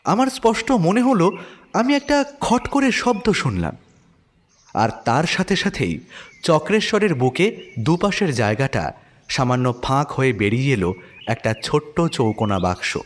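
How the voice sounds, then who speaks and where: A man playing a detective's watchful companion who narrates an audio mystery with expressive suspense. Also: on the high side, normal speed. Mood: suspenseful. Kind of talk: scripted dramatic story narration.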